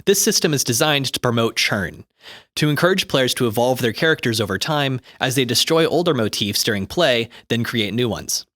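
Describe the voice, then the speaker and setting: A man's voice: medium pitch, lively intonation, moderate pace, a bit bright, no echo, clean studio sound. A man reading scripted explanatory narration in an articulate, instructive way, like an audiobook of a guide. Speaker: co-host reading game rulebook commentary.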